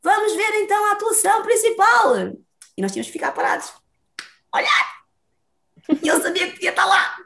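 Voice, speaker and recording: A woman's voice: very high-pitched, brisk, creaky, pauses often. Chatty Lisbon woman telling her story. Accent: Lisbon Portuguese. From Portugal, Lisbon. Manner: lively, talkative, laughs often. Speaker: interview guest, dancer. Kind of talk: casual storytelling interview.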